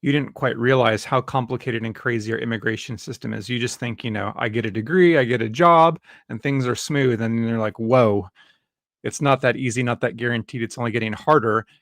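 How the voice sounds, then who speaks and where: A man's voice: medium pitch, steady tone, fairly quick, fairly bright tone, no room sound, studio quality. A man hosting a webinar interview in an upbeat, friendly way, with frequent "uh" and "um" fillers. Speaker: host. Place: Dallas, Texas.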